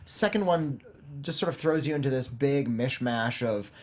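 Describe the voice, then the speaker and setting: Guy on a movie review show, casual and kinda wry, regular pitch. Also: normal speed. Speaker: film review panelist. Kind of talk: casual film discussion.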